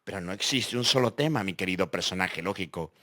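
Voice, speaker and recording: Guy doing a deep-ish philosopher type in an audio story, reflective, talks in little sayings. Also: brisk, pushed and bright. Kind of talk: scripted educational audio fiction dialogue.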